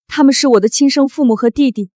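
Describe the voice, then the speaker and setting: An actress as a young woman in a short drama, wronged yet resilient. Her high voice is earnest and declamatory, recorded close and dry. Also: fast, mellow, dry recording, close to an everyday voice. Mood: bitter. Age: young adult. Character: wronged, resilient young top student cast out by family.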